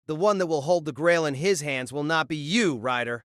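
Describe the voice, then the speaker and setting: A man's voice: a little high, moderate pace, no room sound, studio quality, lightly acted. A man playing a resolute noble spearman knight in an audio drama, solemn and formal.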